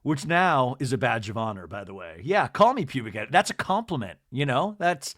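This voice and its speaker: A man hosting a solo podcast that recaps reality TV, talking in a lively, rambling, sarcastic way and swearing now and then. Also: mid-range voice, normal speed, no echo, clean studio sound. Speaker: podcast host.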